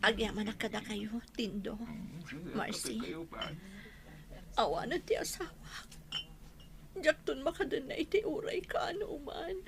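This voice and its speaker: A woman's voice: sits high, big pitch swings, a little slow, gravelly, pauses often, a crowd in the background, exaggerated voice. A woman with an Ilocano accent playing a tender, pleading, grieving wife in an emotional radio drama. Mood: subdued sorrow.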